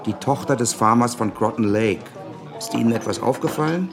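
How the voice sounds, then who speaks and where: An actor playing a wary, inquisitive bar landlord in a German radio play, asking short, dry questions. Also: male voice, mid-range voice, brisk, over music, natural voice. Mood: suspicious.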